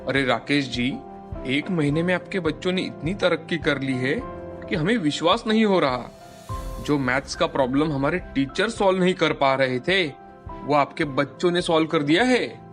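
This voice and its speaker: A man's voice: on the high side, moderate pace, fairly bright tone, soft background music, natural voice. A man playing a polite, impressed school principal in a children's story. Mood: grateful, impressed.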